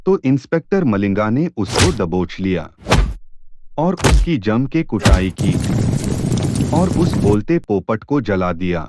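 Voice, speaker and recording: A man's voice: lowish voice, very animated, takes it slowly, heavy background noise. A man narrating a scripted cartoon story as a dramatic storyteller.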